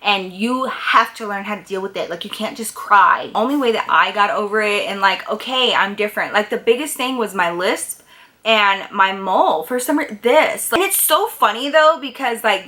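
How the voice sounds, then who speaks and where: Bright-voiced, blunt woman vlogger. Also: medium pitch, moderate pace. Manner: blunt, candid, emphatic girl talk. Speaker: advice vlogger. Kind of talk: casual advice chat to viewers.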